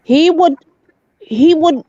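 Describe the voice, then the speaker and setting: A woman's voice: high voice, slow, dark tone, no room sound. Woman laughing through casual voice chat. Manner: laughing, flirty banter. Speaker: chat participant. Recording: dull dry lo-fi mic.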